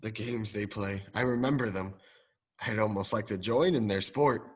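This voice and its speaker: A man's voice: on the low side, normal speed, husky. A young man acting an anxious, stubborn old miser in a radio play. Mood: wistful.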